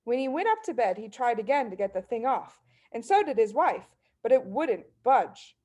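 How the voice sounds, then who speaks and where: A woman narrating a children's book as story reader, in an expressive read-aloud style. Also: fairly high pitch, normal speed.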